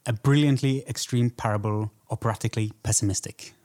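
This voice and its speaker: A man's voice: medium pitch, steady tone, brisk, darkish tone. A man co-hosting a film podcast, talking in a thoughtful, conversational way in a casual discussion.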